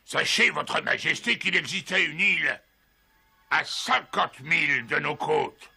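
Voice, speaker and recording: Casting: travelled fairy tale merchant, emphatic, marvelling, gravelly creak, extremely bright forceful tone. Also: male voice, a little high, expressive, a little slow, heavily acted. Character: eager, well-travelled seafaring merchant.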